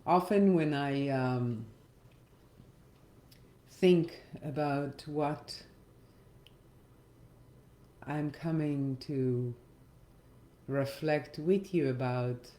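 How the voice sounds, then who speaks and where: A middle-aged woman gives a reflective guided meditation talk in a gentle, measured manner with pauses. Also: low-pitched. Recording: roomy echo, faint music underneath. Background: faint music.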